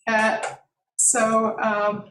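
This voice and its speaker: A woman chairing a conference breakout discussion, speaking in a measured, hesitant way with many fillers. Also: fairly high pitch, monotone. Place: conference meeting room. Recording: echoey hall, distant mic, background noise.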